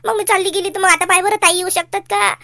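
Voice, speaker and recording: A woman's voice: very high voice, level tone, quick pace, sharp tone, no echo, clean studio sound, heavily acted. A woman playing a pleading, stubborn young daughter in a cartoon comedy, with a Varhadi Marathi accent and a whiny, argumentative manner. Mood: stubborn, whining.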